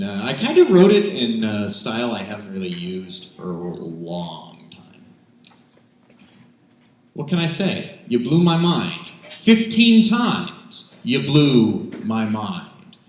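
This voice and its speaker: A man performing spoken word poetry at an open mic, emphatic when reciting, with self-deprecating, rambling asides. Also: medium pitch. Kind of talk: spoken word poetry reading with casual banter between pieces. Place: indoors, small cafe open mic. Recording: roomy live cafe recording with background noise.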